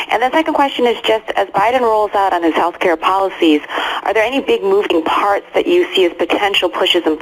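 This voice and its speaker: A woman's voice: on the low side, poor audio. A woman working as a financial analyst, asking polite, businesslike questions on an earnings call.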